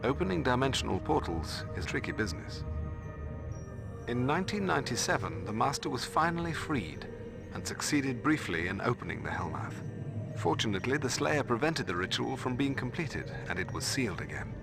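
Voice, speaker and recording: An actor playing a learned, solemn male mentor in a video game, narrating lore in a measured, formal way. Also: mid-range voice, very animated, gravelly, over music. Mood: grave, scholarly. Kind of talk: scripted storytelling narration.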